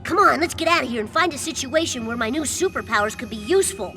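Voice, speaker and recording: A woman's voice: high voice, animated, fast talker, bright, forceful tone, soft background music, full character voice. A woman voicing a cocky, mischievous schoolboy with a scratchy, boyish voice in a cartoon video game. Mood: brash. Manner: brash, wisecracking. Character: cocky, mischievous schoolboy turned would-be superhero.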